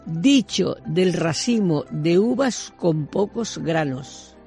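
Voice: medium pitch, lively intonation, takes it slowly, creaky, mellow, soft background music. A speaker explaining regional words in casual radio chat, emphatic and often repeating words. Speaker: radio word-segment contributor.

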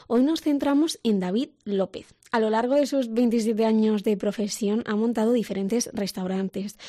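Mellow-voiced woman reporter. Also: mid-range voice, no room sound, studio quality. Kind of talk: scripted news report narration.

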